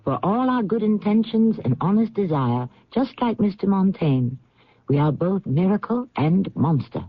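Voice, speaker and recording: Guy hosting old radio mystery, portentous n deliberate, highish lively pitch, muffled lo-fi. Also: no echo. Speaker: radio drama host. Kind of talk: scripted dramatic radio narration. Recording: dull lo-fi old radio recording.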